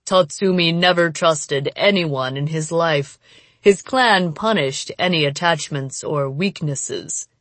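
Woman voicing a low, taunting male demon. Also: a bit bright, dry recording. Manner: taunting, slow, menacing. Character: cruel, mocking male demon gloating over captives. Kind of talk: dramatic audiobook character voice.

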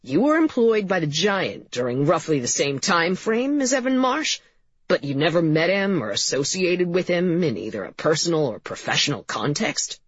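A woman's voice: on the low side, very animated, moderate pace, slightly rough, no echo, lo-fi sound. A woman voicing a male investigator in an audiobook, weary and firm, with a blunt, controlled way of talking. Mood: measured. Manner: blunt, controlled, formal when questioning.

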